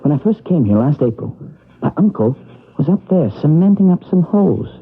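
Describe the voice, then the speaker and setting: A man's voice: a little high, sing-song, brisk, vocal fry, slightly rough, heavily acted. An actor playing an earnest young man who narrates and talks through a night of hunting a ghost in a 1970s radio drama. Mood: uneasy. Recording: tinny old radio broadcast with music.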